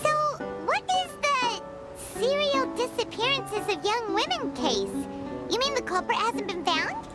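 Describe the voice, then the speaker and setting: A woman voicing a tiny, curious, childlike fairy companion in a video game, with a squeaky voice. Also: big pitch swings, unhurried, heavily acted. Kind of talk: English video game voice acting. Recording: loud game music behind the voice.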